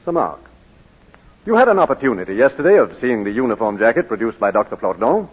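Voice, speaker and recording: A patient British barrister in court. His husky voice is formal and deferential, with expressive inflection. The sound is a dull 1940s radio recording. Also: medium pitch, fast talker. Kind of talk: 1940s radio drama acting.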